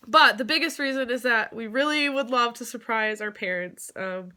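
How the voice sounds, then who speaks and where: A young woman with a stuffed-up nose from a cold talks casually and openly, with plenty of ums and the odd laugh. Her voice is bright and forward. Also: fairly high pitch, moderate pace. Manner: chatty, many fillers, occasional laughs. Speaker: vlogger. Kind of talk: casual vlog explanation.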